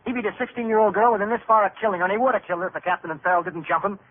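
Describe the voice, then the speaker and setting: An actor playing a hot-tempered police detective, defensive and clipped, in an old-time radio drama. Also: male voice, sits high, racing, narrow, tinny audio, slight background noise, natural voice. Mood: indignant. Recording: phone-thin vintage radio recording.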